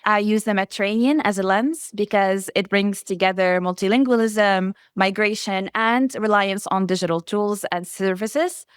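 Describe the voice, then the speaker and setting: A woman whose first language is Arabic, giving a prepared conference presentation in a measured way, reading lists item by item. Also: mid-range voice, clear, resonant tone, no room sound, clean studio sound. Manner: measured, enumerating lists steadily. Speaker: researcher presenting.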